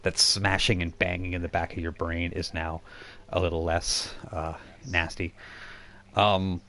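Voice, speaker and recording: A man improvising narration as game master of a tabletop role-playing game, explaining things in a halting, informal way. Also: deep voice, level tone, sharp tone.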